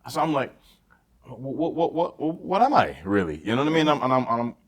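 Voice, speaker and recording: A man's voice: medium pitch, animated, brisk. A man co-hosting a casual chat, often repeating words and phrases for emphasis. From Barbados. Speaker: podcast co-host and stand-up comic.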